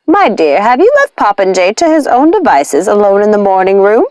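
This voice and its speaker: Woman as earnest, animated motherly nanny. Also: mid-range voice, moderate pace, dry recording, clean studio sound, close to an everyday voice. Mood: diverting. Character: protective, firm, caring nanny who is secretly a witch.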